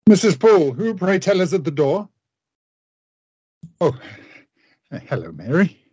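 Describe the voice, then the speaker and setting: A man playing a cultured, secretive gentleman doctor in a radio play, speaking formally and theatrically. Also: on the high side, sing-song, unhurried, breathy edge, no echo, dull audio, heavily acted. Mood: genial, courteous. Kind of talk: radio play acting, journal monologue.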